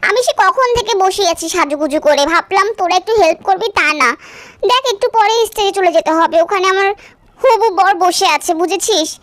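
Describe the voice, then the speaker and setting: Woman voicing a very high-pitched, vain young bride. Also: fairly quick, fairly bright tone, no echo. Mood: huffy, defensive. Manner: boastful, haughty. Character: vain, money-minded eighteen-year-old bride, smug and boastful. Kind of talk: cartoon voice acting.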